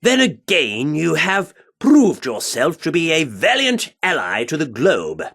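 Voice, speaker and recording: A self-important male theatre director from a video game. His fairly high voice moves very slowly, with extremely sweeping pitch and theatrical pauses. The delivery is grand and flowery, full of old-fashioned flourishes. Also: wildly swooping pitch, fairly bright tone, slightly rough, heavily acted. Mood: earnest, courteous. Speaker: video game character voice actor.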